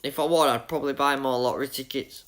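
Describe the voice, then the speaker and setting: A man's voice: mid-range voice, fairly even intonation, quick pace, fairly bright tone. Chatty Yorkshire man live-streaming a game. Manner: chatty, jokey, greets chat by name, laughs often. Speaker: gaming livestreamer. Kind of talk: casual live-stream chat with viewers while playing.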